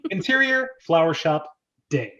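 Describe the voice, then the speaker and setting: Male host-narrator for a screenplay reading, moderately high pitch, slightly muffled lo-fi recording. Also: big pitch swings, slow pace, pushed and bright. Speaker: host and stage-direction narrator. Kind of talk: dramatic script reading, narration.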